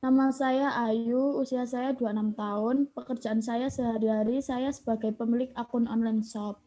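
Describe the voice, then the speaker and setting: Woman playing an online shop owner, 26, mellow higher voice, slow and supportive. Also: steady tone, lightly acted. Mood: calm. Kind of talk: student counseling roleplay.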